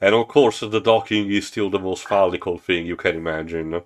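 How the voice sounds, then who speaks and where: A man's voice: low-pitched, normal speed. A man co-hosting a read-along, narrating and commenting casually in a hesitant, wry way. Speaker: co-host of a comic read-along.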